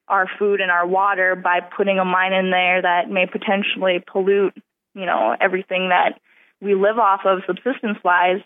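A woman in her twenties from southwest Alaska, a student delegate giving casual interview answers, eager and chatty with fillers. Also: medium pitch. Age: 20s. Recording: tinny phone-line sound.

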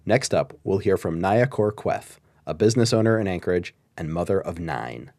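A man hosting a radio show, introducing the next storyteller in a measured announcer style. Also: low-pitched, lively intonation, vocal fry, darkish tone, dry recording, clean studio sound. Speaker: radio host.

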